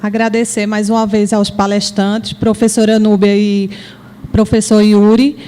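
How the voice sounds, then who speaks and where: A woman's voice: medium pitch, flat delivery, measured pace, warm tone, clear, resonant tone. A woman chairing a panel, making brief formal announcements, in a Brazilian Portuguese accent.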